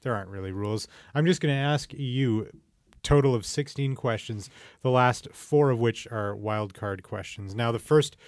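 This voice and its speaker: A man's voice: medium pitch, moderate pace, no room sound, studio quality. A man hosting a podcast quiz in casual, playful banter with a jokey manner. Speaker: podcast host running a quiz. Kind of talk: casual comedic banter with guests.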